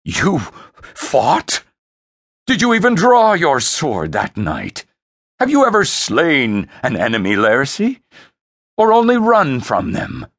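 Domineering imperial father for a fantasy story, played by a male narrator: gravelly, scornful, slow and heavy. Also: medium pitch, wildly swooping pitch, fairly bright tone, dry recording, studio quality, pitched above the performer's own voice, exaggerated voice. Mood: scornful anger. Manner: scornful, commanding, snorting disdain. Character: towering thunderous emperor, a contemptuous domineering father. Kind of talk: dramatic fantasy audiobook character voice.

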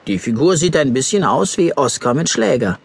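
A man's voice: mid-range voice, big pitch swings, normal speed, breathy edge. A man narrating a scripted children's story expressively.

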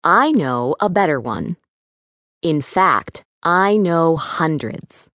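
A woman playing a spirited, defiant schoolteacher with an emphatic, deliberate delivery in a children's audiobook. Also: deep voice, lively intonation, a bit bright, dry recording, studio quality, heavily acted. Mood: firm, deliberate. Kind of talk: full-cast children's audiobook acting.

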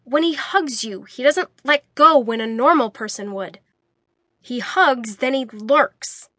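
A woman's voice: a little high, expressive, moderate pace, sharp tone, no room sound, clean studio sound. A woman voicing a jealous, protective young man who argues insistently. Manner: indignant, insistent.

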